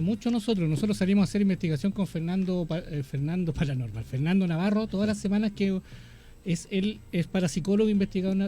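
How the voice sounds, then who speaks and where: Man, radio host, slightly high pitch, eager promotional tone, faint music. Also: mellow. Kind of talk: radio talk show chat.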